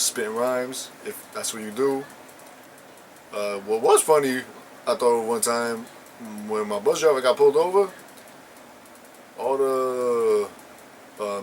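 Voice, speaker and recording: A man's voice: mid-range voice, slight background noise, low-quality recording. A young man chatting casually and telling a rambling story, full of slang and fillers. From Puerto Rican and Ecuadorian family. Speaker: co-host.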